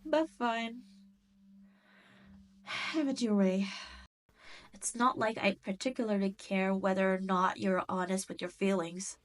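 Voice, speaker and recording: A woman's voice: mid-range voice, fairly even intonation, natural voice. A woman playing a cruel, arrogant demon queen in a roleplay audio drama, teasing and condescending as she speaks straight to the listener. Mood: smug, taunting. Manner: teasing, condescending, drawn-out delivery. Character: cruel, arrogant adult female demon queen, mocking and possessive.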